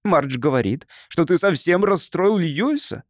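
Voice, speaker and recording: A man's voice: a little high, lively intonation, a little slow, no echo, clean studio sound, voice pushed up. A man voicing a stern, suspicious wife in an audiobook story, pressing reproachfully for answers. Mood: indignant. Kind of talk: audiobook character acting.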